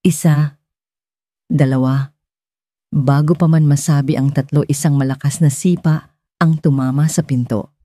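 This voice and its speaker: A woman's voice: low-pitched, steady tone, unhurried, long pauses, mellow, no echo, clean studio sound. A woman narrating a scripted dramatic story as an audiobook reader.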